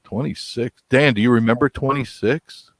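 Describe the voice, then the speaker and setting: A man in his sixties chatting casually, folksy and quick to laugh. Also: mid-range voice. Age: 60s. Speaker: guest on a live chat stream. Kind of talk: casual chat.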